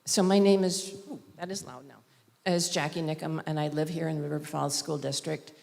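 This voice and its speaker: A woman giving a public comment at a school board meeting, halting with fillers and restarts, speaking into a podium microphone. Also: low-pitched, steady tone, creaky, darkish tone. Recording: long reverb in a large hall.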